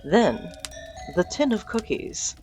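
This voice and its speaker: A woman's voice: medium pitch, sing-song, takes it slowly, a little mellow. A woman reading a comic story as its narrator, with dramatic phrasing and music behind her.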